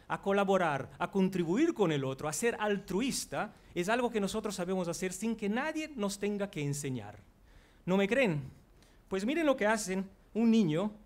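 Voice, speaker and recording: A Brazilian-accented man, a native Portuguese speaker, lectures on psychology with earnest energy. His voice sits fairly high for a man. He speaks into a headset mic in a large auditorium. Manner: earnest, walks the stage while talking. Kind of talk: public lecture. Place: large auditorium before an audience.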